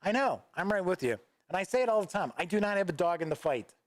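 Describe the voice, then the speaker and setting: A man's voice: sits high, expressive, fast, dry recording. Male stream host, casual and rambling, answers chat offhandedly, raised voice, dry close sound. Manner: rambling, reads viewer chat aloud and responds offhandedly. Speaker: host of a gaming news livestream. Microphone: studio microphone at a desk.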